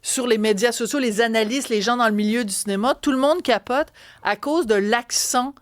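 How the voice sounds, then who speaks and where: A woman with a Québécois French accent co-hosting a talk segment, telling a story in a chatty, animated way with many restarts. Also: on the high side, normal speed, dry recording, clean studio sound. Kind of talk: casual chat on a radio and TV show.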